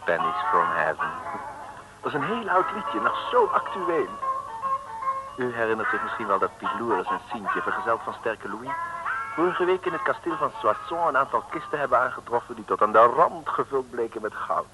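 A man's voice: medium pitch, big pitch swings, moderate pace, loud music behind the voice, rough recording. A man narrating a comic radio serial in a playful, mock-solemn manner.

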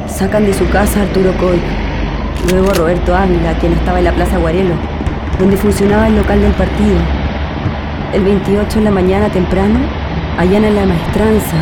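An actress with a Chilean accent playing a reflective woman who recounts her family's hard past in a measured, deliberate way, as in a radio play. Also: medium pitch, natural voice. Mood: grave. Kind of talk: radio play acting, scripted reminiscence. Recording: music under the voice.

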